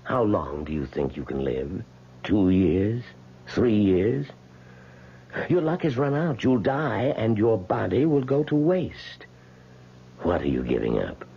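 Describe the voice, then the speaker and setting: An actor playing a frail, wealthy old man in a radio drama, speaking in a deliberate, coaxing manner. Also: lowish voice, very animated, creaky, husky, exaggerated voice. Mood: insistent persuasion. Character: frail, sick, wealthy old man, calmly persuasive bargainer. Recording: dull, noisy 1970s radio recording.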